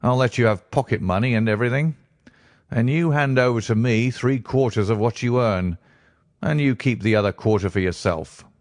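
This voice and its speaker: A man voicing a brusque, bustling gentleman who asks blunt questions in an audiobook. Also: mid-range voice, moderate pace, dry recording, studio quality, natural voice. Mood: businesslike. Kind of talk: audiobook character reading.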